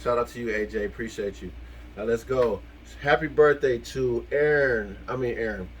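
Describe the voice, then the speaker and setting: A man co-hosting a casual vlog, giving informal, appreciative shout-outs in a heartfelt way. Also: medium pitch, moderate pace, dull audio, slight background noise. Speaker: co-host of a video channel. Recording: music playing behind the voice. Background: background music.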